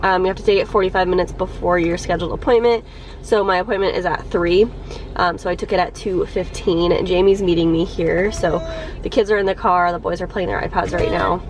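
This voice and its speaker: A woman's voice: on the low side, steady tone, a little fast, slight background noise, low-quality recording. Congested woman chatting to her camera. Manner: chatty, many ums and likes, laughs a little. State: congested from a cold. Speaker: vlogger. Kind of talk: casual chat to camera. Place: parked car.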